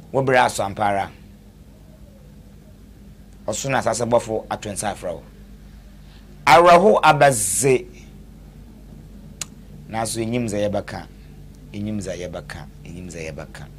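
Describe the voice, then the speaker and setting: A man delivering a poetic death announcement as a broadcast announcer, declaiming with sudden swells and hushed drops. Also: mid-range voice.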